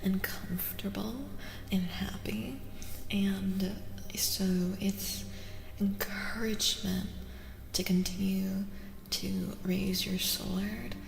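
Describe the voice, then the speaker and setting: A woman doing a soft-spoken ASMR tarot reading as a streamer, breathy and often near a whisper, soothing and affirming, gently repeating her phrases. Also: mid-range voice, little pitch movement, slow. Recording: echoey reverb with music behind. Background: music.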